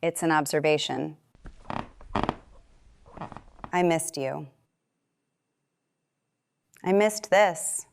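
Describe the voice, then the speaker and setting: An actress playing a friendly, gossipy, affectionate shopkeeper in naturalistic audio drama dialogue, with a playful, drawling manner. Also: female voice, low voice, slow pace, creaky, a little hiss or hum, heavily acted. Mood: affectionate teasing. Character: friendly, teasing small-town shopkeeper woman, gossipy and affectionate.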